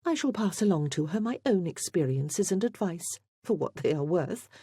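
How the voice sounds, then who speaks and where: A woman's voice: on the low side, lively intonation, moderate pace, dark tone, husky, dry recording, studio quality, voice pushed up. A woman voicing a gracious countess in an audiobook, politely apologetic yet firm.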